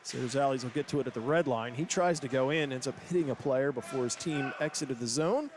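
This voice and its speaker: A man calling hockey play-by-play, with quick, excited calls that rise to shouts. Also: mid-range voice, a little hiss or hum. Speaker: play-by-play announcer. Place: ice rink broadcast booth. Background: loud arena music.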